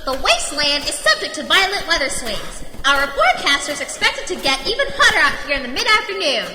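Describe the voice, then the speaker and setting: A woman voicing a bossy, sardonic bat guide of Hell in a squeaky voice, in an audio drama. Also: very animated. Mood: impatient, bossy. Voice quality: squeaky, batty. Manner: brisk, nagging, sardonic. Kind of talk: audio drama character acting. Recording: echoey reverberant space, noisy ambience.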